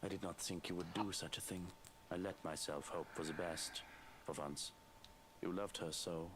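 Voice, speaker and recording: An actor playing a grave, restrained man in a visual novel, speaking in a measured, formal, reproachful way. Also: deep voice, fairly even intonation, close to an everyday voice. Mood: sad, disappointed. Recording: game audio through stream, background noise.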